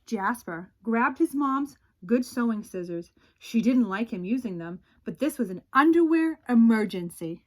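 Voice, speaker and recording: Slow, dramatic woman reading a storybook aloud. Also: fairly high pitch, animated. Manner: dramatic, with drawn-out suspenseful phrases. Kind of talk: expressive children's read-aloud narration.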